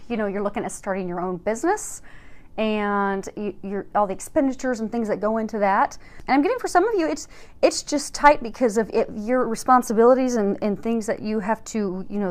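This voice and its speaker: This is a woman with a fairly high voice, hosting a spiritual tarot reading. She talks to her viewers casually and conversationally. Her manner is reassuring and encouraging throughout. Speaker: tarot card reader and host.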